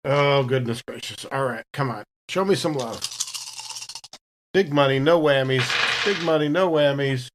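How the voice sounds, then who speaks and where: A woman's voice: rumbling low, a little slow, noisy background. A woman playing a tabletop roleplaying game, chatting casually in a playful, theatrical way. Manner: theatrical, jokey.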